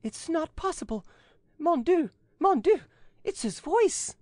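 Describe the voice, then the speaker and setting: A man voicing an excitable, easily frightened young French damsel in a high, breathless voice for an audiobook. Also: unhurried, no room sound, studio quality, heavily acted. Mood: alarmed. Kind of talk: audiobook reading.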